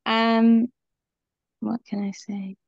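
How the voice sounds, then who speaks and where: A woman's voice: a little high, little pitch movement, very slow, vocal fry, a little mellow, no room sound. Coaching client, woman with a Kiwi accent, candid and rambling, slightly dull phone sound. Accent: New Zealand. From New Zealand. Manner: rambling and candid, with frequent ums and laughs. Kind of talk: casual group call.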